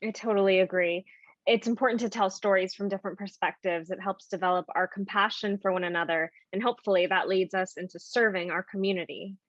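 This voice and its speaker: A woman's voice: mid-range voice, steady tone, fast talker, close to an everyday voice. A woman playing a polite, eager actress auditioning in an online play, agreeable and natural in delivery. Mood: friendly, earnest. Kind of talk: naturalistic dialogue in an online one-act play. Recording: dull, boxy video-call audio.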